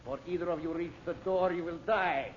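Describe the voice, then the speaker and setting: A man's voice: a little high, quick pace, a little hiss or hum, close to an everyday voice. Man playing a grandiose radio villain, muffled old recording. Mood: angry, threatening. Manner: grandiose, declamatory. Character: megalomaniac villain scientist, cruel, grandiose, menacing. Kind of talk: old-time radio serial acting.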